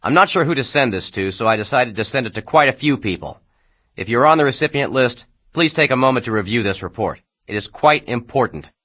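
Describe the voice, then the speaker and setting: An actor playing a self-important, aggrieved shipping bureaucrat, dictating an officious, indignant memo for a video game. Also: male voice, mid-range voice, lively intonation, fairly quick, bright, forceful tone.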